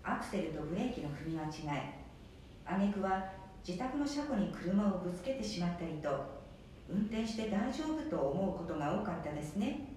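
A woman giving polite, measured scripted narration for a radio drama. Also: big pitch swings, darkish tone. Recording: very echoey, distant, faint rumble noise.

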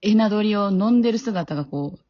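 A woman's voice: medium pitch, lively intonation, a little fast, dry recording. A woman with a Kansai Japanese accent chatting casually as a panelist in a group. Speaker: panelist on a casual talk show. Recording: dull, lo-fi audio.

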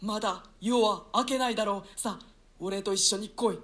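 A man's voice: sits high, normal speed, sharp tone. An actor playing a guilt-ridden villain king, delivering an anguished theatrical monologue in a staged radio-play reading. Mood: uneasy.

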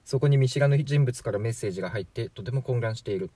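A man's voice: medium pitch, fast talker, warm tone, no echo, close to an everyday voice. A man playing a chatty, flippant 43-year-old from the future in a radio drama, glib and matter-of-fact. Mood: calm, matter-of-fact. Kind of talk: amateur radio drama acting.

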